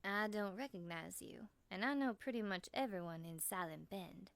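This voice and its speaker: A woman voicing a friendly, easygoing woman in her thirties with a honey-smooth Southern American accent, in an audiobook story. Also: mid-range voice, moderate pace, no echo, close to an everyday voice. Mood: knowing, friendly. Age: mid-thirties. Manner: relaxed, folksy.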